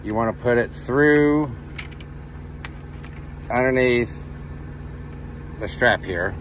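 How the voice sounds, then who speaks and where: A man's voice: medium pitch, slow. A middle-aged American man giving a casual hands-on tutorial as a trucker. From United States. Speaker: trucker and channel host. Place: inside a semi truck cab. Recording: noisy truck cab with engine hum.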